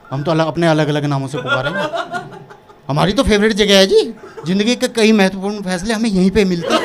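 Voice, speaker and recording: A man's voice: high-pitched, very noisy. A man from Uttar Pradesh performing a stand-up comedy set, telling stories and acting out dialogue to a laughing audience. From Uttar Pradesh, India. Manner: animated storytelling, acting out dialogue.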